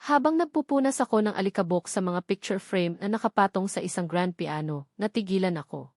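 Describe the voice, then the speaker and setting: A woman narrates a scripted drama in the first person. Her voice sits at a middling pitch. The recording is dry, with hardly any room sound. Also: lively intonation, fairly quick, a little mellow, dry recording. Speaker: story narrator.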